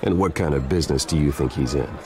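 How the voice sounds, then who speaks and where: An actor playing a guarded, wealthy young man in a video game, terse and dry, with a deep gravelly voice. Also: quick pace, a little mellow. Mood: cool, defiant.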